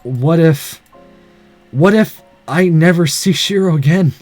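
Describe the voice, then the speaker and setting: A man's voice: fairly high pitch, takes it slowly, darkish tone, no room sound, faint music behind. Man reading as earnest, determined young game hero. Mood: worried. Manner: earnest, dramatic inner monologue. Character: determined, anxious young male visual novel hero.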